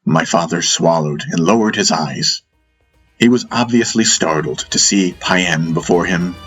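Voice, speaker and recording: A man narrating a fantasy audiobook as dramatic first-person storytelling, in a solemn, measured manner. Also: low voice, fairly bright tone, soft background music.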